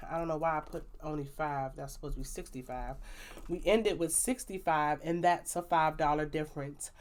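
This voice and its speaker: A young woman with a loud, upbeat voice, chatting casually through a budgeting update on her channel, with slangy asides. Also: lowish voice. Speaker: budgeting vlogger. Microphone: plug-in microphone.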